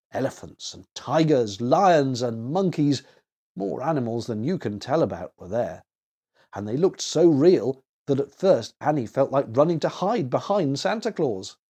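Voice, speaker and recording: A man narrates a children's story in a mid-pitched voice. His delivery is very animated, swinging up and down with expressive, dramatic emphasis. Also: breathy edge.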